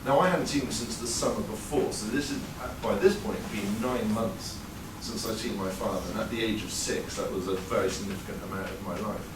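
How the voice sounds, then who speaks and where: A man's voice: on the low side, fairly quick, hardly any pauses. English guy telling a story live, chatty and dry, lots of ums, roomy echo and background noise. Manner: conversational, wry, many fillers. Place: performance venue before an audience. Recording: roomy live sound, mic far off.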